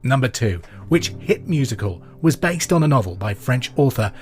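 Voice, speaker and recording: A man hosting a pub quiz podcast, reading questions and answers in a clear, deliberate way with casual asides. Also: medium pitch, lively intonation. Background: music under the voice.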